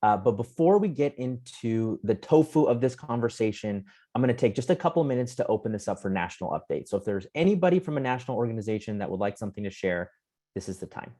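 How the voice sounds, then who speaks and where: A man's voice: lowish voice, animated, quick pace, dark tone. A man hosting an online panel in a casual, chummy way, putting questions to guests. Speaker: host of an online community panel call.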